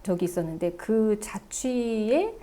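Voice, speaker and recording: A woman's voice: mid-range voice, animated, a little slow, dark tone. A woman in her forties from Suwon, a guest and former TV shopping host, earnestly telling her story in an interview with many fillers. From Suwon, South Korea. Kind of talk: personal testimony in a sit-down interview.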